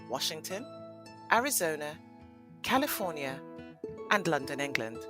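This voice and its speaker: Narrator: woman, lowish voice, animated and dramatic storytelling delivery over faint music. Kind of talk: scripted storybook narration for audio play.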